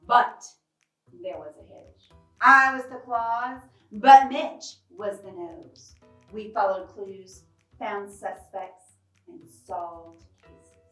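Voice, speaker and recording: A woman's voice: medium pitch, steady tone, takes it slowly, a bit bright, distant mic. A woman voicing a hard-boiled cat detective who narrates a storybook case in a dramatic, clipped noir manner. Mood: brooding, determined. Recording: roomy, off-mic, music behind.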